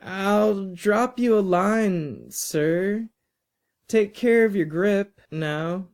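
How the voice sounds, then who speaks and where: A man's voice: sits high, extremely slow, a little mellow, pitched above the performer's own voice, exaggerated voice. A man voicing an anxious teenage schoolboy in an audiobook, eager, hesitant and over-polite. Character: teenage boy student, anxious, politely placating an old teacher.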